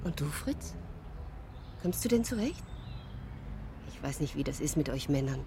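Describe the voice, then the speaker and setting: Lowish-voiced, gentle country woman. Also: big pitch swings, normal speed, background noise. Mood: tentative, caring. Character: friendly, tentative, caring widowed farm woman next door. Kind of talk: German radio drama acting.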